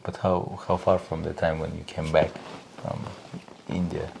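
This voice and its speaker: A male interviewer with a very deep, gravelly voice asks brief, quiet questions at a slow pace. He sits away from the microphone, so he sounds distant, and faint hiss with small clicks runs under his voice. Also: flat delivery. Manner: short, quiet questions from off-mic.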